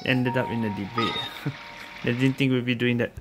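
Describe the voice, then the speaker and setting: A woman's voice: a bass voice, fairly even intonation, normal speed. A woman streaming a game with casual commentary, murmuring to herself as she plays. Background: game music.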